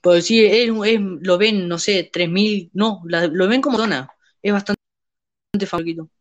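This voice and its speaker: A young man chats casually on a podcast in a fairly high voice. He rambles, breaks off and restarts his sentences, and often searches for names. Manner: rambling, halting, many restarts. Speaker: podcast host. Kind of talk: casual chat.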